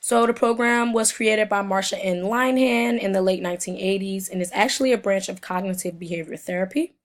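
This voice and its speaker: A young woman speaking in an ordinary middle register, her voice hoarse and a little sniffly from a cold. She reads a class presentation from prepared notes and stumbles now and then. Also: mid-range voice, brisk. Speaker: student presenter.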